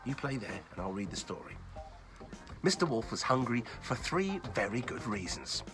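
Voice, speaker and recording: A man hosting and reading a children's bedtime story in a playful, expressive, child-directed way. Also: mid-range voice, normal speed, music in the background. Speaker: children's story reader and host.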